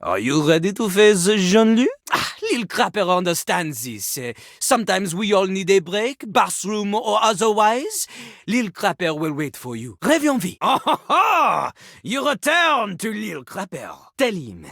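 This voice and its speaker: A man's voice: high-pitched, very animated, a little slow, a bit bright, dry recording, studio quality, full character voice. A man voicing a boastful, theatrical French-accented rapping toilet in a video game, referring to himself in the third person. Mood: playful, cocky. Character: boastful French rapping toilet, cocky yet easily embarrassed. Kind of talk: video game character acting with freestyle rap.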